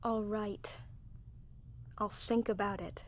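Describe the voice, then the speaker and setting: A woman's voice: mid-range voice, steady tone, takes it slowly, slight background noise, close to an everyday voice. Actress as a headstrong young American woman. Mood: troubled. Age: 20s. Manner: blunt, unladylike. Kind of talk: radio drama acting.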